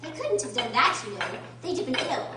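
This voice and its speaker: A woman's voice: sits high, big pitch swings, a little fast. A young woman playing a curious, outspoken young girl in a radio drama. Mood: argumentative. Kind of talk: stage radio drama acting. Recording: echoey hall, distant mic, loud noise.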